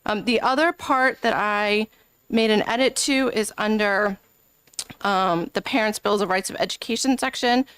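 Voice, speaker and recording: A woman's voice: mid-range voice, normal speed, fairly bright tone, clear, resonant tone, no echo. A woman legislator presenting an amendment at a committee hearing, speaking earnestly and arguing her case with frequent ums. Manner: earnest, argumentative, many filler ums. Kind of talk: committee testimony.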